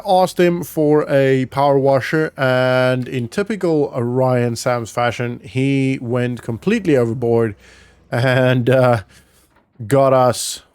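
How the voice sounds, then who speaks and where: Male gaming vlogger, smooth clear voice, close dry sound, informal think-aloud roleplay commentary. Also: medium pitch, darkish tone, dry recording. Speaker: gaming vlog host. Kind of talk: casual roleplay gameplay commentary.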